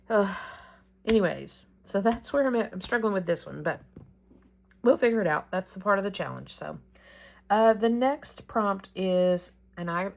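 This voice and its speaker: Gravelly-voiced woman thinking aloud. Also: fairly low pitch, a little mellow. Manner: rambling, thinking aloud with many restarts. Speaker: reading challenge vlogger. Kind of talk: casual planning chat about a reading challenge.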